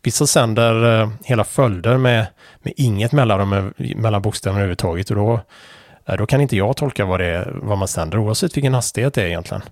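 A man, explaining amateur radio Morse code procedure in an unhurried way, with many fillers. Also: fairly low pitch, darkish tone, no echo, studio quality. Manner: unhurried, many fillers, spells out letters and call signs.

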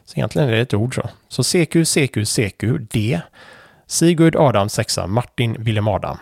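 A man, explaining amateur radio Morse code procedure in an unhurried way, with many fillers. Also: medium pitch, expressive, creaky, a little mellow, slightly rough. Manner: unhurried, many fillers, spells out letters and call signs.

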